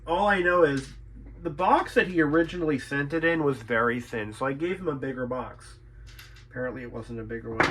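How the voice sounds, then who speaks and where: Bright-voiced man thinking aloud over a repair. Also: medium pitch. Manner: thinking aloud, repeating himself, mild swearing. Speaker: radio repair technician and channel host. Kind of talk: unscripted talk while inspecting and repairing.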